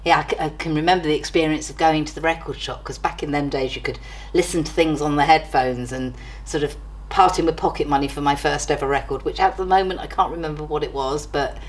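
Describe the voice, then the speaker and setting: A woman's voice: low voice, quick pace. An older woman answering interview questions in a casual, reminiscing way. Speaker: interviewee from a college.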